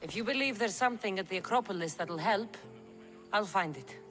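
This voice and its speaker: Woman acting a lively mercenary heroine. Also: medium pitch, fairly quick, faint music behind, lightly acted. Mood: determined. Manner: direct, resolute. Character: bold determined ancient Greek mercenary woman, dry and direct. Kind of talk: video game dialogue.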